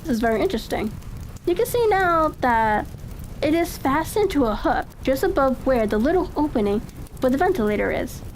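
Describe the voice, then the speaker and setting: A woman reading the part of a brisk, observant male Victorian detective in a storybook, asking deliberate, probing questions. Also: sits high, very animated, a little hiss or hum, poor audio. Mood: intrigued.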